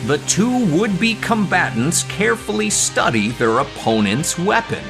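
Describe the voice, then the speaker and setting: A man's voice: sits high, very animated, unhurried, creaky. A man narrating a scripted audio drama, describing the action as narrator. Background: music.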